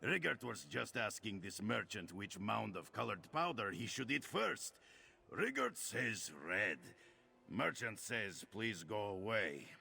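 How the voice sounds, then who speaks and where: An actor playing a brash, cranky Nord warrior in a fantasy video game, gruff and grumbling. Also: male voice, lowish voice, very animated, takes it slowly, pushed and bright, heavily acted. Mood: grumbling, matter-of-fact. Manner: gruff, grumbling, talks in third person.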